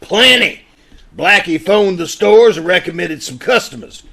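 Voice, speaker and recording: A man playing a blustery, suspicious police inspector in an old-time radio drama, with a forceful, accusing manner. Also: high-pitched, animated, a little slow, creaky, full character voice. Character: blustery, suspicious male police inspector hunting fur thieves.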